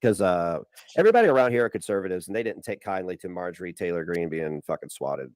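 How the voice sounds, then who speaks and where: A man's voice: low-pitched, lively intonation, quick pace, a little mellow, dry recording. A man streamer chatting casually in a boastful, crude way.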